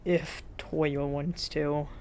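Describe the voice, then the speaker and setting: A grumpy teenage boy in a read-aloud story, acted by a woman. He is easily outraged and complains in exasperation, stretching his words out slowly. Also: deep voice, flat delivery, slight background noise, voice pushed down. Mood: resigned. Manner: loud, exasperated, dragged-out complaining.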